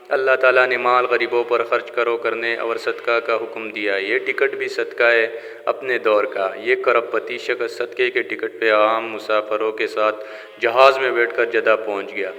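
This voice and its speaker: A man's voice: medium pitch, bright, forceful tone. A man narrating a scripted moral story in a solemn, declamatory storyteller's manner. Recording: long hall-like reverb on the voice.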